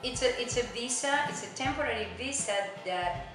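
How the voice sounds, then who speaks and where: A woman's voice: on the high side, expressive, normal speed. A middle-aged woman from Argentina with an Argentine Spanish accent, a native Spanish speaker talking chattily in a casual oral history interview, often saying "you know". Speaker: immigrant interviewee. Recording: very echoey room with music behind.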